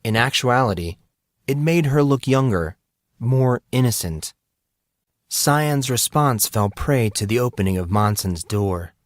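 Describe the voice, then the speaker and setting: A man giving scripted audiobook narration in a measured, expressive storytelling manner. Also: mid-range voice, no echo, clean studio sound.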